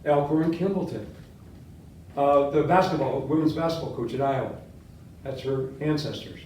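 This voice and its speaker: An older man, a history professor born in Latvia with Latvian as his first language, giving a classroom lecture and quizzing his students, talking with lively gestures. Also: medium pitch, normal speed, slight background noise. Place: seminar room with whiteboard. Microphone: far camera. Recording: echoey classroom from far off.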